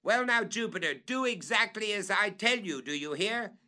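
A man voicing an excited treasure hunter who shouts orders up a tree in a raised, high voice. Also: moderate pace, exaggerated voice. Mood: excited, commanding.

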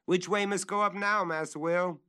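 A man voicing a high-calling servant up a tree. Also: big pitch swings, normal speed, a bit bright. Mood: calling, wary. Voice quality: high, strained, thick rural dialect. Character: old male servant calling down from a treetop.

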